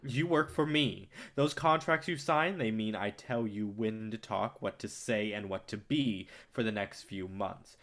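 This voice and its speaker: A man's voice: lowish voice, a bit bright. Guy acting a smug bullying movie exec in a play reading, cynical and talking down to people. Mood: domineering. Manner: condescending, rapid-fire lecturing. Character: domineering, cynical male film distributor, bullying and smug.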